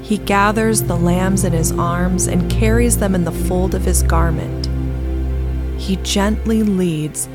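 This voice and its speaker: A woman reading scripture aloud in a measured, solemn manner, with instrumental music behind her. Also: on the low side. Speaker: scripture reader. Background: new-age instrumental music.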